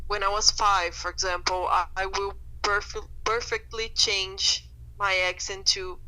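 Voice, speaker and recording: A woman's voice: medium pitch, little pitch movement, measured pace, pushed and bright, rough recording. A young woman, a guest chatting thoughtfully on a podcast with many fillers. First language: Portuguese. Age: young adult. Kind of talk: casual chat.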